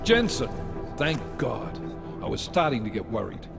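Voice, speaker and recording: An actor playing a blunt, exasperated police unit commander in a video game, with a stern manner. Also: male voice, medium pitch, very animated, normal speed, gravelly, in a large hall. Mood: tense relief. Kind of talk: cinematic video game acting. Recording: game soundtrack music behind.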